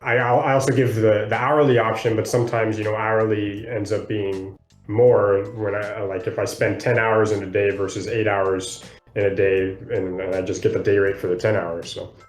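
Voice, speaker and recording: A man's voice: fairly low pitch, little pitch movement, normal speed. Man explaining in a roomy space. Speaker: podcast co-host; freelance video editor. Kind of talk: casual book discussion, explaining. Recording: roomy echo, faint music underneath.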